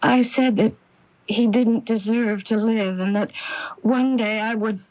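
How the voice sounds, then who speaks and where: A woman's voice: a little high, fairly even intonation, unhurried, no room sound, natural voice. An actress playing an anxious, distraught woman who stammers and repeats herself in a radio drama. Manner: hesitant, stammering, repeats words. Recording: dull, dry radio broadcast tape.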